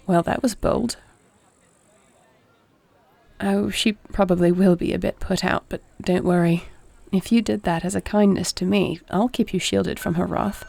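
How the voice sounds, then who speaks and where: Woman acting a creaky-voiced, formal warrior princess. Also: mid-range voice, no echo. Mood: concerned, caring. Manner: formal, measured, soft-spoken speech. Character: warrior princess wife, duty-bound, caring, wry, formal. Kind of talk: audio roleplay acting, addressing the listener.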